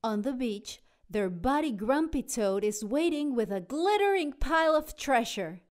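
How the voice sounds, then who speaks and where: A woman narrating a children's storybook aloud in an expressive, dramatic way. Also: high-pitched, a little slow. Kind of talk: animated children's read-aloud.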